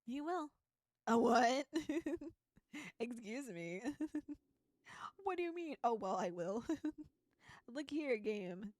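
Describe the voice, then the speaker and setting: A woman's voice: on the high side, a little mellow, no echo, studio quality. A playful, giggly woman VTuber reading a visual novel aloud and adding casual commentary. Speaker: VTuber streamer.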